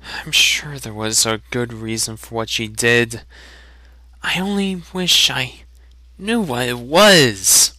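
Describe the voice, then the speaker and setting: A man's voice: medium pitch, takes it slowly, sharp tone, no room sound. A man voicing an earnest, wistful teenage farm boy in a fantasy audiobook.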